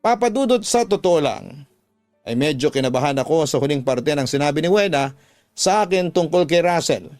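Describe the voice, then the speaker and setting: A man's voice: on the high side, expressive, moderate pace, a little mellow. A man reading a first-person story as a scripted radio drama narration. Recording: background music under the voice.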